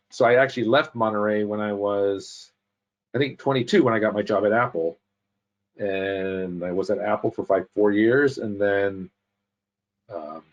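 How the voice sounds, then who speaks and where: A man in his fifties speaks in a fairly low voice, rambling and correcting himself as he tells his story. The audio is dull and muffled, like a video call. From United States. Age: 50s. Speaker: podcast guest. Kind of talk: casual interview chat.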